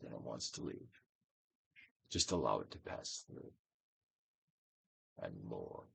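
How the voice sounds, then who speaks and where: A man giving a theatrical, Shakespearean-style dramatic reading of a self-help book, with deliberate pauses. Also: low-pitched, vocal fry, a bit bright, breathy edge, no room sound. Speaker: audiobook reader, actor.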